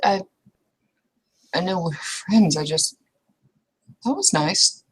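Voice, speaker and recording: A woman playing a quiet, distracted, naively curious woman in improvised roleplay, speaking hesitantly and questioningly. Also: on the low side, big pitch swings, slow, husky, full character voice. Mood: naive curiosity. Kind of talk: improvised in-character roleplay dialogue.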